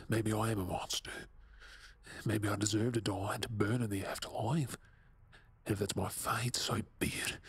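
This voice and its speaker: An Australian man acting a tormented, guilt-ridden captive mutant in an audio drama, speaking in a husky, breathy, subdued and halting way. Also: on the low side. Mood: anguished resolve.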